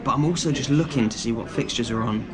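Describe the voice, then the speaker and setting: A man's voice: mid-range voice, fast talker, crowd noise. A man presenting a casual football vlog, chatting to camera in an enthusiastic way. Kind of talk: casual travel vlog chat. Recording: noisy outdoor recording with wind or traffic.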